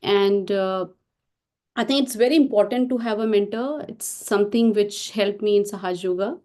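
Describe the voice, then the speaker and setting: A woman's voice: mid-range voice, normal speed, darkish tone. A middle-aged woman hosting an online meditation session over a video call, speaking with many fillers and restarts. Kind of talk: welcoming host talk.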